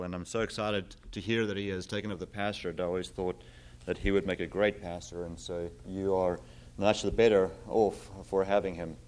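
South african guy preaching, lowish voice, lots of ums, slows down and leans in on the scripture reading. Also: clear, resonant tone. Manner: earnest, frequent fillers, reads scripture slowly and emphatically. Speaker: visiting preacher. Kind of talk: sermon.

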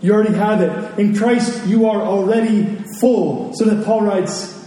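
A man's voice: high voice, steady tone, moderate pace, warm tone. A man preaching a sermon to a congregation, speaking emphatically and repeating phrases for effect. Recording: very echoey hall, distant mic, faint noise.

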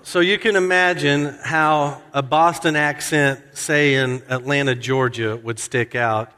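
A man's voice: steady tone, a little slow, sharp tone. A man preaching a sermon, walking through a passage verse by verse and acting out the quoted lines with a livelier voice. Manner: expository preaching, acts out quoted lines with rising pitch. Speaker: pastor. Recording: hall reverb.

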